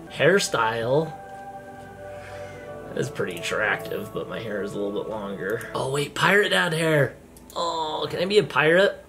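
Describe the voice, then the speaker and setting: A man in his thirties with a higher-pitched voice, chatting playfully while gaming at home as vlog host. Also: measured pace, long pauses. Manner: playful, joking, excitable. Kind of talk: casual chat while gaming. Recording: music in background.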